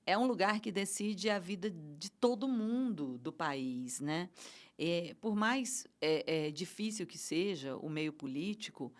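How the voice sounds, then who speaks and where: A middle-aged woman with a Brazilian accent talks as a guest in a casual interview. Her voice sits a bit low. She speaks with passion and feeling, often restarting her sentences. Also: expressive, unhurried. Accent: Brazilian Portuguese. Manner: passionate, emotional, many restarts and fillers. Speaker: guest, event producer.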